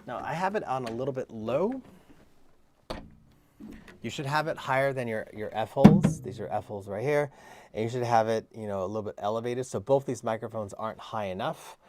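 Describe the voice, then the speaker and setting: A man with a baritone voice hosting a casual livestream lesson, chatting while he demonstrates microphones and moves closer to and away from them. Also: a little fast, a little mellow, a little hiss or hum. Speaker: cello teacher hosting a live stream. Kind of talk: casual livestream demo talk. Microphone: switches between several desk microphones.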